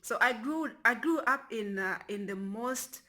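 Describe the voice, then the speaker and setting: A young woman from Kenya chats loosely to her camera, wandering off mid-sentence and starting over. Her voice is bright and forward, and she often drops to a hushed, near-whispered murmur. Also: animated, a little slow. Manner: rambling, restarts often, lowers to a near whisper. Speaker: vlogger. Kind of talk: casual talking-to-camera vlog.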